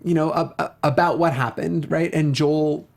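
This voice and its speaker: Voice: medium pitch, steady tone, normal speed, gravelly, a little mellow. A talk show host in a casual review discussion, speaking with gushing enthusiasm and often rambling off mid-thought.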